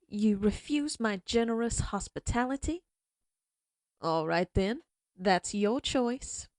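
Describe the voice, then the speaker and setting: A woman playing a slow-talking menacing outlaw leader. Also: medium pitch, lively intonation, darkish tone. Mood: taunting. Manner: slow, taunting drawl. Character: menacing female outlaw gang leader, mocking. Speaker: voice actress. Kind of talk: audio roleplay acting.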